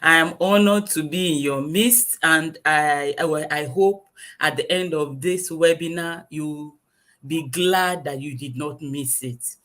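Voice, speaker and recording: A woman's voice: low voice, slow pace, fairly bright tone. A woman, a guest English teacher lecturing earnestly in a webinar over a video call, repeating her points for emphasis.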